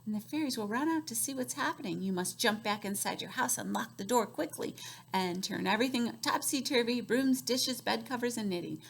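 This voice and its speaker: A woman voicing a wise old village woman who gives measured, careful advice. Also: on the high side, expressive, vocal fry, slightly rough, exaggerated voice. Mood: instructive. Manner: measured, advising.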